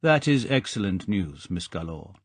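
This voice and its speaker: A man's voice: deep voice, big pitch swings, normal speed, slightly rough, no room sound, full character voice. A man voicing a polished, cordially formal male mastermind.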